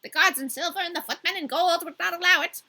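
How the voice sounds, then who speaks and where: Woman putting on a high-pitched, wordy male crow. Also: fast talker, sharp tone, heavily acted. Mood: advising. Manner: wordy, hard-to-enunciate put-on voice. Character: verbose, helpful male talking crow, courting sweetheart.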